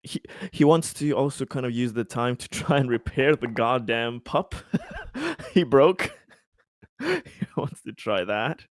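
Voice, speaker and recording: A man playing a tabletop role-playing game, talking casually in character and hesitantly repeating words as he deliberates. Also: medium pitch, big pitch swings, no echo, clean studio sound.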